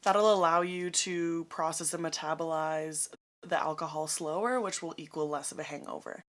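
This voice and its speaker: A young woman with a raspy voice, chatting through a how-to vlog in an upbeat, self-mocking way. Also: low-pitched, steady tone, normal speed, fairly bright tone. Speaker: vlogger.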